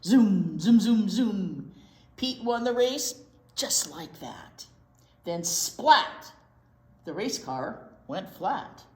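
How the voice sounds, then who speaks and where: A woman's voice: medium pitch, animated, very slow. A woman reading a picture book aloud to young children as a storytime teacher, expressively drawing out key words and asking little questions. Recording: roomy, echoey indoor sound.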